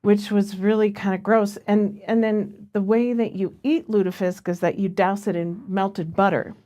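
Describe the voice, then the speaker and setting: A woman's voice: medium pitch, steady tone, moderate pace, dark tone. A woman from Minnesota with an American accent, co-hosting a podcast and telling stories in a casual, conversational way. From Minnesota, United States. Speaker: podcast co-host.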